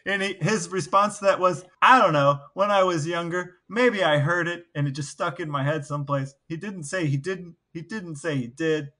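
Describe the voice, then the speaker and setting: Guy on a podcast telling stories casually, kinda high bright voice, wry. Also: moderate pace, hardly any pauses. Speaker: podcast co-host. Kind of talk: casual storytelling chat.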